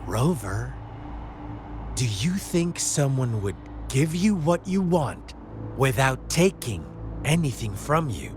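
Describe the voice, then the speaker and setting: A man playing a sly, unhinged game villain who tells a dark tale in a theatrical, taunting, drawn-out way. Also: mid-range voice, very animated, background noise. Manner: theatrical, taunting, drawn-out delivery with sudden swoops. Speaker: villain character in a video game. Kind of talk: dramatic storytelling monologue in a game.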